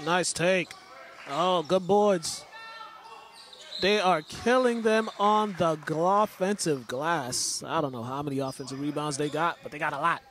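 Man, play-by-play commentator, casual chatty calls, repeats names, slightly high voice, faint gym noise. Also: unhurried, a bit bright. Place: large indoor school gym. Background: faint gym ambience and ball bounces.

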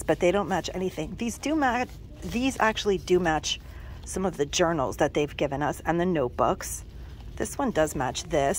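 Woman, forties, enthusiastic chatty shopping vlog, phone camera, slightly low pitch, faint background noise. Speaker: shopping vlogger. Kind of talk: casual shop-with-me narration. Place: inside a discount store, walking the aisles. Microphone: handheld phone camera.

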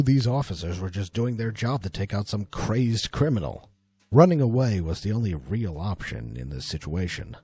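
A man's voice: sits low, mellow, slightly rough. A man telling a scripted story as a dramatic, suspenseful, theatrical narrator.

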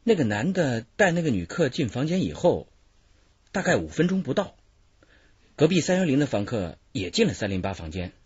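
A man's voice: medium pitch, sing-song, normal speed, darkish tone, breathy edge, dry recording. An actor playing a knowing, gossipy male hotel attendant as a witness in a radio drama. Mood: confiding. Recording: dull lo-fi radio drama recording.

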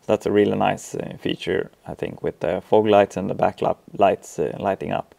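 A man with a Swedish accent presents an informal step-by-step tutorial. His voice sits on the low side, with a soft, mellow tone and clear vocal fry. He talks in a hesitant, halting way, with frequent ums between phrases. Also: fairly even intonation. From Sweden. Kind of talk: informal software walkthrough.

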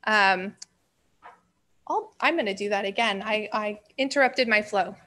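A woman's voice: mid-range voice, unhurried, sharp tone, a little hiss or hum. A woman, a special education director, giving a formal report at a school board meeting in a strong, projecting voice.

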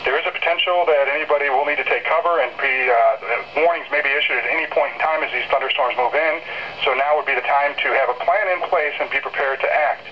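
A man giving a live severe weather briefing as a forecaster, measured, explanatory and technical. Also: a little high. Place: picked up from a weather radio speaker. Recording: muffled noisy weather radio broadcast.

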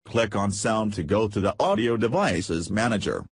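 A man with a fairly low, husky voice narrates a software tutorial. He reads scripted steps in a measured, instructional way. The sound is close and dry, with very little room to it. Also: lively intonation, dry recording.

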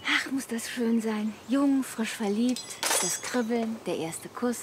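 An actress playing a naive, vain, dreamy wife in a radio play, guileless and airy. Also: female voice, a little high, slow pace, a little hiss or hum. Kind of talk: German radio play acting.

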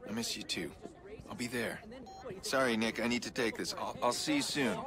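An actor playing a guarded, apologetic brother in a game, speaking in a placating, evasive way on a call. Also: male voice, mid-range voice, moderate pace, over music, lightly acted. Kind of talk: game phone-call dialogue.

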